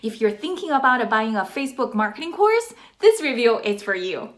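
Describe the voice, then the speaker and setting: Woman w/ chinese accent hyping up a course she bought, kinda high voice, super emphatic. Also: big pitch swings, moderate pace, heavy background noise. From China. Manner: enthusiastic, emphatic, salesy. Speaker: online course reviewer. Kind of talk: casual product review to camera.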